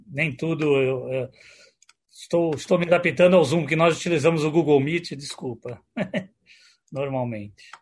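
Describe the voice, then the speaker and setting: Higher-pitched husky Brazilian man, halting delivery. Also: a little mellow. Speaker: company executive, guest presenter. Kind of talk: informal business presentation on a video call. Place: online video call.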